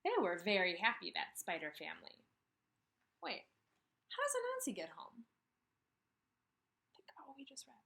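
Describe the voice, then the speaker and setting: A woman in her thirties giving a kindergarten read-aloud lesson, speaking with emphasis and pausing after questions as if for children to answer. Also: mid-range voice. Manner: animated, asks questions and pauses for answers, slow emphatic phrasing. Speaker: kindergarten teacher. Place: small indoor room with brick wall.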